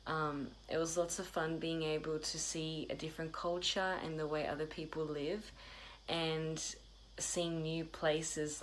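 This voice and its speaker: Woman in her twenties, low and even, faint background hiss. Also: rough recording. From Australia. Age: 20s. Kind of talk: recorded self-introduction.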